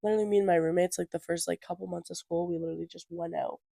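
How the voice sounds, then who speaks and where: A woman's voice: on the low side, fairly even intonation, rapid-fire, vocal fry, dark tone, no room sound, clean studio sound. An eighteen-year-old woman with a stuffy nose from a cold, chatting casually as a podcast co-host and filling her talk with fillers. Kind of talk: casual chat.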